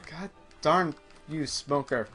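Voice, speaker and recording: A man's voice: a little high, slow, sharp tone, faint music behind. A man giving casual let's play commentary, exclaiming at the game as he plays it. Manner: exclaims at the game while playing. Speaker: player in a gaming show.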